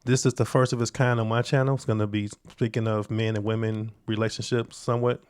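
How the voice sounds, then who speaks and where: A man's voice: lowish voice, little pitch movement, fast talker, a little mellow, smooth. A man hosting a reaction video, giving casual, conversational commentary in an informal, slangy way.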